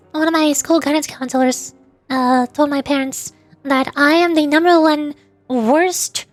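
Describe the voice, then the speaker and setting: A woman chatting casually on a game livestream in a hesitant, halting, self-deprecating way. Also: high voice, no echo. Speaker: livestreamer, VTuber voice actor. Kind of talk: casual livestream chat while playing a game.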